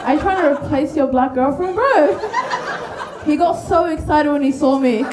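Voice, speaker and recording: A woman's voice: high-pitched, normal speed, over a noisy crowd. A woman doing stand-up storytelling on stage, often giggling through her own lines. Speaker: stand-up comedian. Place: on stage in a comedy venue. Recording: echoey live comedy venue. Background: light audience noise.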